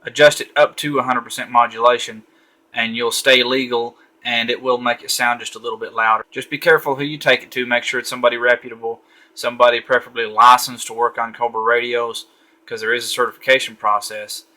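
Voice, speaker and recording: Bright-voiced man casually demoing a radio. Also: mid-range voice, level tone, quick pace, rough recording. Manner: easygoing, thinking aloud with frequent fillers. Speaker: radio reviewer. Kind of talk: casual product walkthrough. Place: home desk room at night, indoors.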